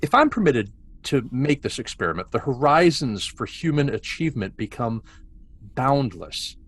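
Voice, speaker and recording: Man acting an arrogant officer, wildly swinging pitch. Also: big pitch swings, normal speed, a bit bright, slightly rough, exaggerated voice. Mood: heated. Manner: dramatic, condescending. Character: arrogant, impatient male scientist-officer, obsessive and commanding. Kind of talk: science fiction script table read.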